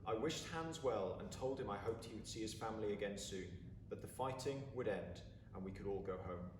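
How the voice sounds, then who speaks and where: A man reading a story aloud with expressive, deliberate, dramatic phrasing. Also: on the low side. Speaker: story reader. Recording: roomy, distant mic, faint background noise.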